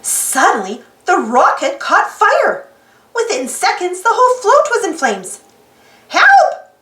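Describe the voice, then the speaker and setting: A woman reading a children's storybook aloud in an animated narrating style. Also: extremely high pitch, a little slow. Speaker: storybook reader. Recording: roomy, slightly distant mic.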